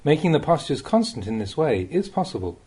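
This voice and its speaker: A man reading a Buddhist teaching aloud as an audiobook, as a monk would, with measured and expressive phrasing. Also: a little high, slightly rough. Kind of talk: read-aloud Dhamma talk, audiobook narration.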